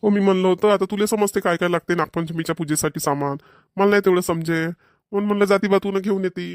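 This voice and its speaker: Man voicing a fast-talking rural Maharashtrian cartoon villager. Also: high-pitched, steady tone, clear, resonant tone, no room sound, studio quality, lightly acted. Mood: grumbling. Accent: Maharashtrian, rural Vidarbha Marathi. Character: village men, dutiful sons and put-upon husbands. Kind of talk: cartoon comedy dialogue.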